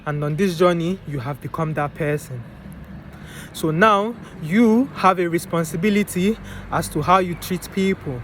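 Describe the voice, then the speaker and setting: A man's voice: fairly high pitch, normal speed, fairly bright tone, a little hiss or hum. A young man giving a preachy motivational talk, answering his own rhetorical questions and calling the listener bro and man. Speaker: motivational vlogger. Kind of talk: casual motivational monologue.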